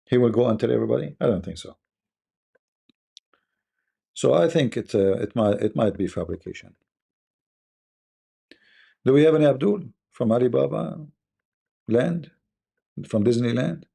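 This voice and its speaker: A man's voice: mid-range voice, lively intonation, moderate pace, creaky, pauses often, dark tone, husky. A man with an Arabic accent, speaking as host of a live debate stream in a blunt, scornful manner.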